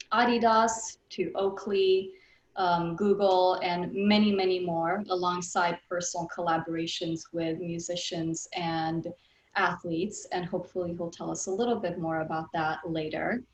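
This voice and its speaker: A woman's voice: on the low side, roomy sound. A woman in her thirties hosting an online interview, politely introducing her guest with frequent ums. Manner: polite, filler-heavy. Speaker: interview host. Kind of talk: scripted-sounding introduction, then interview questions.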